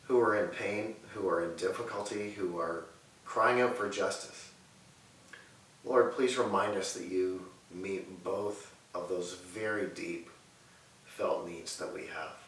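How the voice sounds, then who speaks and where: A man in his thirties preaching and praying earnestly from a sofa in a living room, measured and repetitive in his phrasing. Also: sits low, steady tone, a bit bright, recorded from a distance. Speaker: pastor. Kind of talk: sermon and prayer. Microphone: far camera mic. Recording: echoey room audio with faint hiss.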